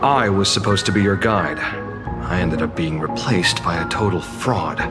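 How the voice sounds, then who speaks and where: A man's voice: low voice, a little slow, loud music behind the voice. A man voicing a courteous, formal male guide who is easily flustered in a video game.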